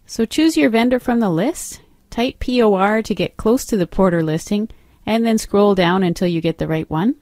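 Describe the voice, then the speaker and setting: A woman narrating an instructional software demo as a trainer, explaining step by step in an instructive way. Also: medium pitch, moderate pace, no echo, clean studio sound.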